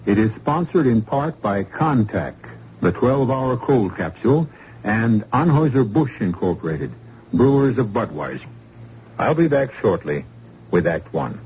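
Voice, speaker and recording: An older man hosting a vintage radio mystery show, narrating in a measured, solemn way. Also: lowish voice, sing-song. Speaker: radio drama host. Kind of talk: scripted narration. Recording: dull old radio broadcast with loud noise.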